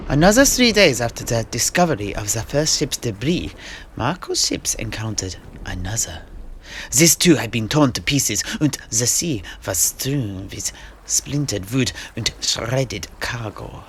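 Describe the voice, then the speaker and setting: A man playing a genial old-world seafarer who recounts his grandfather's voyages in measured storyteller's phrasing. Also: mid-range voice, sing-song, a bit bright, a little hiss or hum. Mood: dramatic. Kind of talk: in-character fantasy storytelling. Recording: music playing under the voice.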